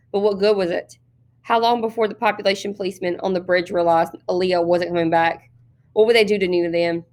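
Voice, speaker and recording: A woman reading a novel aloud as an expressive storyteller, narrating the scenes. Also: medium pitch. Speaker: story reader.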